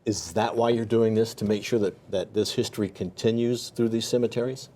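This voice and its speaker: Mellow-voiced male TV interview host. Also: on the low side, steady tone, fairly quick. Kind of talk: unscripted local TV interview.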